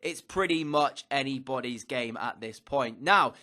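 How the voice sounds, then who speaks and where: Young guy from england, bit bunged up with a cold, bright sharp voice, upbeat commentator vibe with lots of uhs. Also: mid-range voice, unhurried. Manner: upbeat, commentator-like phrasing with frequent fillers. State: slightly blocked nose from a cold. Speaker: gaming YouTuber. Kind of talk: recap commentary of a football career mode.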